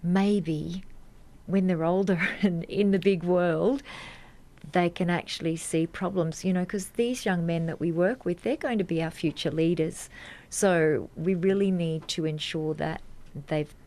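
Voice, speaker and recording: An Australian woman with a lowish voice speaks as an interview guest. She explains things earnestly, like a teacher, repeating phrases for emphasis. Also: fairly even intonation, a little slow, mellow. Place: radio studio.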